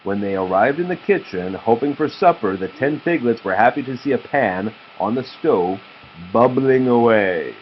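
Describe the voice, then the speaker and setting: A man's voice: medium pitch, lively intonation, moderate pace. A man reading a children's story aloud as a father to his child, with a patient manner. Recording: slightly muffled, faint background noise.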